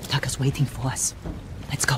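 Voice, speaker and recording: Low-voiced, determined woman. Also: level tone, normal speed, loud music behind the voice. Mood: urgent. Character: determined, tough woman protective of her brother. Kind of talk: dramatic video game acting.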